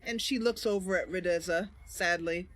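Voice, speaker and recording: A woman's voice: lowish voice, moderate pace. A woman hosting a game roleplay, narrating in storytelling asides. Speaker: roleplay channel host and narrator.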